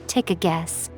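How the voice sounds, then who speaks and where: An actress playing a teasing, worldly-wise elegant woman in a video game, with arch, polished phrasing. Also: darkish tone. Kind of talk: video game character acting. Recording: background music under the voice. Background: music.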